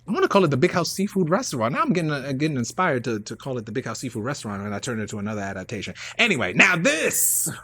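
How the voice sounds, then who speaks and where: A man's voice: medium pitch, very animated, fast talker, pushed and bright. A man hosting a live radio show in a casual, rambling chat, often repeating his phrases.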